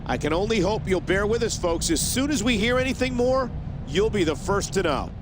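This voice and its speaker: An earnest wrestling play-by-play announcer for a video game. His voice is high and excitable, with clear noise behind it. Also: a little fast. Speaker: play-by-play commentator. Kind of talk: sports commentary in a video game.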